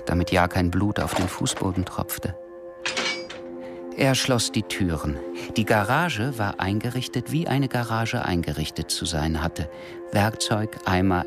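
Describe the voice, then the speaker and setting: A grave, sober male narrator tells a radio crime thriller in a deep voice. His delivery is measured, and music runs strongly beneath it. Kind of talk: scripted radio drama narration. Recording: prominent music under the voice.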